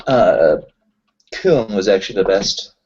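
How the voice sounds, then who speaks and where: A man's voice: on the low side, expressive, takes it slowly, darkish tone, husky. A man improvising as a player in a roleplaying game, with a hesitant, wordy way of talking. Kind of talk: in-character improvised roleplay chat. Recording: dull, lo-fi online voice chat.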